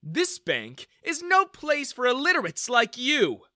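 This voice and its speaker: A haughty bank manager who mocks and sneers at everyone, as a man puts him on. The voice sits high and pushed, with a bright, sharp edge. The recording is dry and close. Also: wildly swooping pitch, measured pace, no room sound, full character voice. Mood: sneering. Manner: mocking, scornful.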